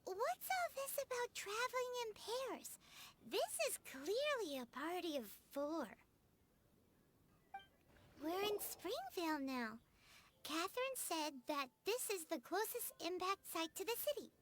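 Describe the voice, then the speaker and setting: An actress voicing a tiny, childlike, excitable game companion with a squeaky voice and a blunt manner. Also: female voice, measured pace, noisy background, exaggerated voice. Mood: curious, chirpy. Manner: blunt, excitable, refers to self in third person. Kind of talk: video game voice acting.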